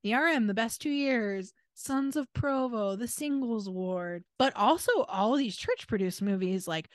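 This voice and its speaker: A woman's voice: a little high, expressive, a little slow, dry recording, studio quality. An American woman co-hosting a casual podcast, telling stories in an irreverent, exasperated way with emphatic asides. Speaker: podcast co-host.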